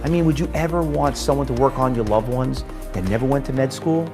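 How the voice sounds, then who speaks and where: Emphatic man pitching over music. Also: brisk. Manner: emphatic, rhetorical questions. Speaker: trading school founder. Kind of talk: promotional testimonial pitch. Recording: music bed underneath.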